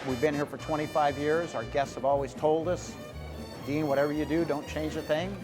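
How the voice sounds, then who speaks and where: Brisk male restaurant owner over music. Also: a little high, fairly even intonation. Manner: brisk, matter-of-fact, with fillers. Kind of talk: interview soundbite.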